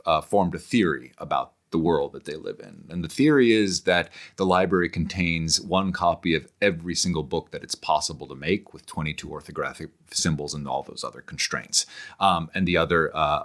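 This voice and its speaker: A man with a deep, low voice talks as a guest in an interview. He explains in a scholarly, discursive way, often pausing mid-phrase to find the next word before carrying the thought on. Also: a bit bright. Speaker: podcast guest, author on philosophy and literature.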